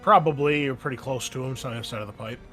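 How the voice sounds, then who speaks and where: A man's voice: medium pitch, very fast. Male game master, easygoing delivery, faint music bed. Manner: casual, relaxed, frequent fillers. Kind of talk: running a tabletop game.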